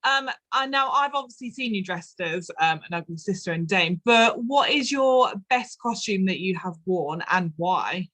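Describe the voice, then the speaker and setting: Bright-voiced woman co-host. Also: medium pitch, lively intonation, normal speed, hardly any pauses. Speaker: co-host asking questions. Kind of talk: casual chat.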